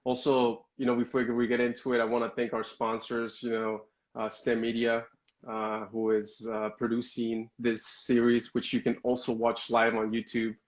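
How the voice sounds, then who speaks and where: A man's voice: mid-range voice, highs cut off. A man hosting a casual live stream, speaking evenly with many fillers. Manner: even-toned, halting with fillers. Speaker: host.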